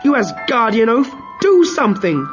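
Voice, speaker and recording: A man voicing a furious, sharp-tongued goddess who scolds her husband in a rapid, shrill voice. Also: huge pitch swings, dull audio, faint music behind, exaggerated voice.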